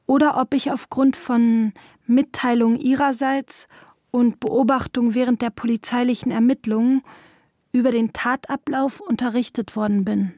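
A sober daughter played by an actress reads out her testimony in a fairly high female voice. Her delivery is formal, precise and factual, in the manner of a radio documentary, and the sound is dry and close. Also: a little mellow, no room sound, lightly acted. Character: adult daughter giving a sober witness statement. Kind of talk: scripted documentary reading of testimony.

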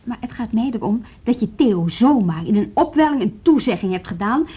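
An actress playing a stubborn, sceptical music teacher in a radio drama, with a blunt, indignant manner. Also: female voice, fairly high pitch, animated, normal speed, husky. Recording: muffled old radio recording.

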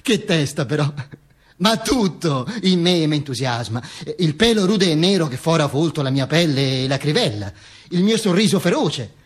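An actor playing a vain, ranting, self-mocking dead dictator in an emphatic, declamatory theatrical radio monologue. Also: male voice, on the high side, big pitch swings, moderate pace, breathy edge. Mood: vain, boastful. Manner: emphatic, declamatory, with insistent repetitions and interjections. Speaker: stage actor performing own monologue.

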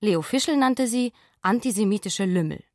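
A woman reading scripted literary narration for a radio play in a measured, composed way. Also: medium pitch, expressive, darkish tone, dry recording, clean studio sound.

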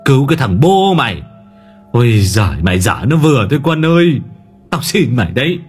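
A fed-up, hot-tempered father acted by a male narrator scolds and swears. The voice is husky, with pitch jumping up in exasperation, in dramatic audiobook style. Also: very animated, fairly quick, soft background music. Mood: exasperated. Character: exasperated, hot-tempered father cursing his disturbed son.

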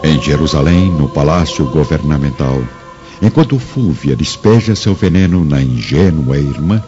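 A man's voice: very deep voice, dark tone. A man narrating a radio drama in a solemn, scripted style. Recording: dull lo-fi recording with music.

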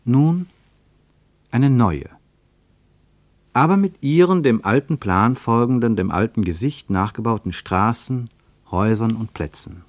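A man narrating a radio travel play in a measured, lyrical way, with dramatic swings in pitch and loudness. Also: lively intonation, no echo.

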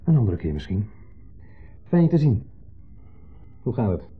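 A smug ex-husband in an old radio drama, needling and theatrically jovial. His voice is husky and fairly low, with insinuation under the pleasantries. The recording is muffled and narrow, with faint music. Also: very animated, unhurried, full character voice. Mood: breezy greeting. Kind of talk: 1970s Dutch radio drama acting.

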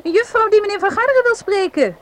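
An actress playing a brisk, guarded young daughter in a radio drama. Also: female voice, very high voice, lively intonation. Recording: noisy old radio play recording.

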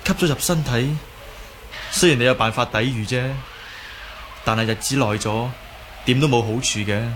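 An actor playing a concerned, good-natured young martial arts hero in a Cantonese radio drama, earnest and hesitant. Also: male voice, mid-range voice, moderate pace, long pauses, natural voice. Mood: earnest, worried. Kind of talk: Cantonese audio drama acting. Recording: clearly noisy background.

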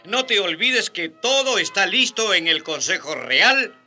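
A self-justifying king in a radio drama, with a high, sharp voice that swoops widely. He takes his time, dismissive and condescending, in a theatrical style. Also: lively intonation, a little slow, soft background music, exaggerated voice. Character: evasive, self-justifying, pragmatic King of Spain.

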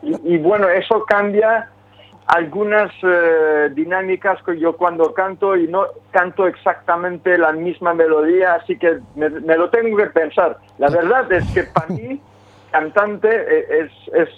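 A man's voice: high voice, steady tone, a little slow. Man on a tinny phone line. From Quebec, Canada. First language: French. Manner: hesitant, many restarts and fillers. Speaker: singer of a metal band, interviewee. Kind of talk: phone interview, casual talk. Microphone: telephone.

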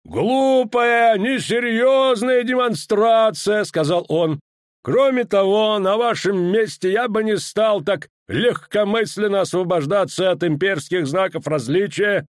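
Guy doing a bossy imperial commander barking orders way up high, super dry sound. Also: unhurried, no echo. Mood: cold rebuke. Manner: shouting commands, hammering out each phrase. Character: bellowing imperial male commander, stern and overbearing.

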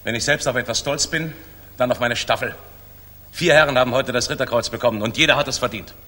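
An actor playing an upright, self-effacing front-line fighter commander in a 1960s radio drama, speaking earnestly. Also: male voice, mid-range voice, fast, sharp tone. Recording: reverberant 1960s mono radio play.

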